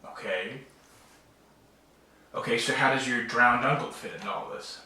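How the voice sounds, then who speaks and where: A man's voice: medium pitch, a little slow, sharp tone. An actor playing a rational, sceptical man who is dismissive yet placating, in a naturalistic drama. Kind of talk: naturalistic film drama acting. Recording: very echoey room, distant mic, faint noise.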